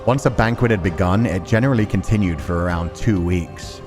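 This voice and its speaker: A man's voice: low-pitched, fairly quick, music in the background, lightly acted. A man reading the part of a bitter, ambitious man from the slums, telling his past grimly in a visual novel.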